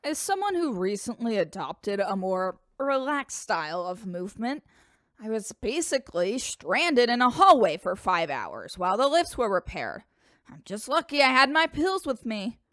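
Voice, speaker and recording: A woman's voice: a little high, very animated, moderate pace, a bit bright, no room sound, clean studio sound. An actress playing a put-upon woman in her eighties, grumbling in an audio drama. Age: 80s. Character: woman in her eighties, complaining ship resident.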